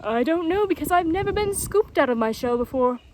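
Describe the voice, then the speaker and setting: Casting: a woman as contrary male tortoise for a storybook, pedantic riddler, high-voiced with lively swings, noisy recording. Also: brisk. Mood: pedantic, teasing.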